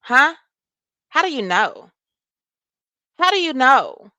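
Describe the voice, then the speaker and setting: A woman hosting a casual livestream chat, reading and answering viewer comments. She has a soft voice and speech, and she talks in an animated way, cursing freely. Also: sits high, takes it slowly, dry recording, highs cut off. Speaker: livestream host.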